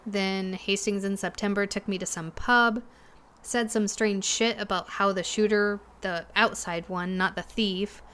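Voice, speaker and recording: Slow, hesitant young woman, shaken, played by an actress. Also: mid-range voice, fairly even intonation, fairly bright tone, close to an everyday voice. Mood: uneasy. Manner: halting, hesitant, with sighs and pauses. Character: Emily, shaken, hesitant young cafe worker recounting trouble. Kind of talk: naturalistic audio drama dialogue.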